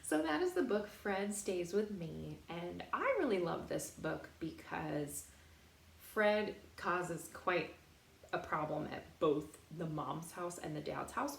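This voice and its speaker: Woman, playful storytime reader, very lively pitch, creaky voice, roomy echo, questions for little kids. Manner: playful, expressive, addressing young listeners directly. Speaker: storytime reader for young children. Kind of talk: animated picture-book read-aloud with questions to children. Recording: roomy echo, faint background noise.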